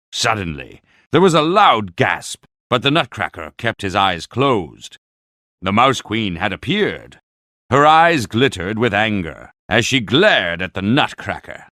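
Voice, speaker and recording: A man narrating a children's bedtime storybook in a deliberate, expressive way. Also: fairly low pitch, dry recording, studio quality.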